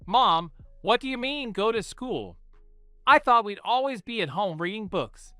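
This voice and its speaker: A man's voice: high voice, expressive, unhurried, bright, forceful tone, clean tone, no echo, faint music behind, voice pushed up, exaggerated voice. A man voicing a cheeky, mischievous young girl in a spoken storybook, pouty and defensive. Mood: puzzled.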